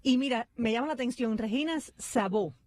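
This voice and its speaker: A Cuban-raised woman host with a fairly high voice, speaking in an easy, conversational way. She sounds close to the mic in a dry studio with no echo. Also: a little fast. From Cuba. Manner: warm, conversational, reads listener comments. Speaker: radio host. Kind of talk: radio interview hosting.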